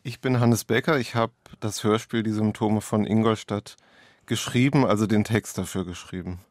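A man's voice: on the low side, fairly even intonation, normal speed, no echo, studio quality. A man speaking as an author in an interview, picking his phrasing hesitantly as he explains his work. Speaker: author and playwright. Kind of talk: interview conversation about own work.

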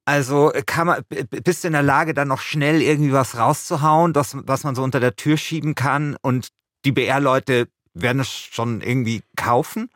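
A man's voice: medium pitch, steady tone, normal speed, fairly bright tone, dry recording, clean studio sound. A man hosting a podcast, moving between casual chat and scripted narration. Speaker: podcast host.

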